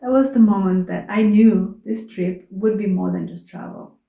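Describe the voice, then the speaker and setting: A woman whose first language is Romanian, narrating her travels in a soft, earnest, contemplative way. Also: mid-range voice, moderate pace, creaky, echoey room. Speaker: travel vlogger.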